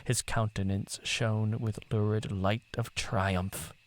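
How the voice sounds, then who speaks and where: Male storyteller, theatrical and deliberate, lowish voice, slow pacing for literary fiction. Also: flat delivery. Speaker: narrator. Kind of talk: dramatic literary story narration.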